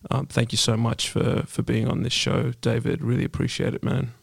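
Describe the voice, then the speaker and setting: A man hosts a podcast interview, his voice heavily gravelly and fried. He speaks in a flat, level tone and stumbles a little through his questions. The recording is dry and close. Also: medium pitch, dry recording. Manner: easygoing, halting questions. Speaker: podcast host.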